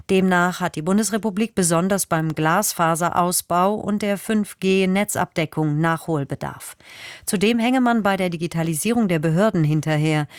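A woman reading the news on the radio in a measured, formal way. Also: on the low side, no echo, studio quality. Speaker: newsreader. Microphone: studio microphone.

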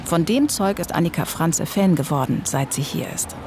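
A reporter reading scripted radio feature narration in measured, terse phrases. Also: mid-range voice, expressive, background noise.

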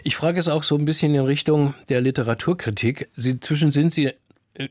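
Measured male interviewer. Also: on the high side, no echo, clean studio sound. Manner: measured, long framing questions. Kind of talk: cultural radio interview.

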